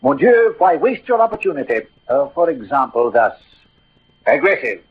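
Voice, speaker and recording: A man's voice: on the high side, big pitch swings, moderate pace, slightly rough, full character voice. An actor playing a proud, combative Gascon swordsman, declaiming with mocking wit in a 1940s radio theatre style. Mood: flamboyant, taunting. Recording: muffled tinny old radio recording.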